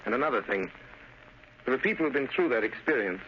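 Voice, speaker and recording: An actor playing a grave, philosophical gentleman detective in 1930s radio style, earnest, deliberate and oratorical. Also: mid-range voice, very animated, creaky. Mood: grave, reflective. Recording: scratchy, muffled old radio recording.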